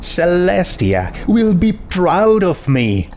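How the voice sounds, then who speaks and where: A smug female pony mage from a fantasy storybook, acted out by a man, pitched well up and swooping as she explains herself. She is assured and dismissive, taking her time over the words. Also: big pitch swings, slow, darkish tone, heavily acted. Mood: proud, pleased.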